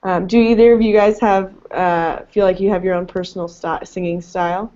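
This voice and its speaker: A woman's voice: mid-range voice, fairly even intonation, normal speed, dark tone. A woman hosting a casual interview, asking friendly questions with many fillers. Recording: dull lo-fi audio.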